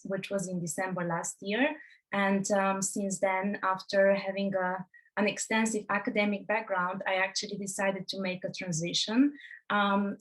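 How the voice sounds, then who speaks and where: A woman's voice: medium pitch, rough recording. A young adult woman from Bulgaria introducing herself on an online panel, hesitant with many ums.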